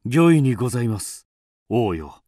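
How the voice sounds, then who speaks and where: Grim, dramatic undead avenger. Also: male voice, mid-range voice, sing-song, normal speed, dark tone, no room sound, clean studio sound. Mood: courteous, guarded. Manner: theatrical, grim, archaic formal speech. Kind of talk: Japanese radio drama acting.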